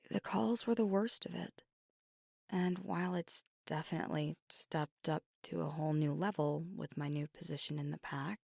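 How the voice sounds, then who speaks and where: Female actor as werewolf girlfriend for ASMR roleplay; hushed, husky with some fry, guarded and tender, very dry close mic. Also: low voice, dry recording, heavily acted. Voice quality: breathy, often near a whisper. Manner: halting, hushed, confessional, with pauses. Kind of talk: intimate ASMR audio roleplay. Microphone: close microphone, dry.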